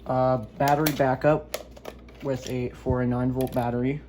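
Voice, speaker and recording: A man's voice: mid-range voice, little pitch movement, moderate pace. Male gadget reviewer, casual and rambling, unscripted, with some background noise on a homemade recording.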